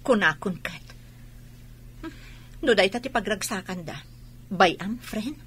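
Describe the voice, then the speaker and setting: A woman's voice: medium pitch, expressive, slow, fairly bright tone, slight background noise. A woman acting a wronged but resolute wife and mother in a radio drama. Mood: hurt, resolute.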